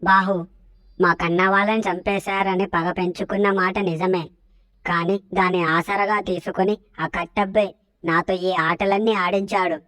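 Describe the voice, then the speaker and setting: A woman's voice: mid-range voice, little pitch movement, normal speed, a bit bright, no room sound, natural voice. A woman playing a manipulative, vengeful older queen mother in a comic cartoon melodrama. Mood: pleading, vengeful.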